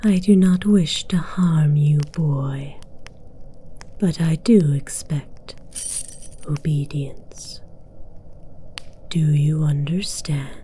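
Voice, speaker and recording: A woman voicing a possessive, seductive dragon queen in an ASMR roleplay, soft and breathy, often whispering, with regal command. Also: deep voice, a little hiss or hum. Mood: seductive, coaxing. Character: possessive, seductive female dragon queen hoarding human pets.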